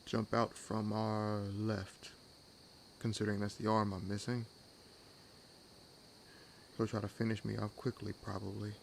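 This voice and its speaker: A man playing a wry, world-weary vampire hunter in an intimate audio roleplay, teasing and sardonic, with unhurried pauses. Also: lowish voice, completely flat delivery, vocal fry, slight background noise. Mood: wary, calculating. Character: wry, world-weary one-armed male vampire hunting his own kind. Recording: nature ambience laid under voice. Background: forest ambience with crickets and insects.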